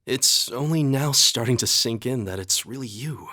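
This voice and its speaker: A man voicing a calm, earnest young highland warrior in a video game, with measured, slightly formal phrasing. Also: no echo, clean studio sound. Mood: sincere, warm. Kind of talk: English video game voice acting.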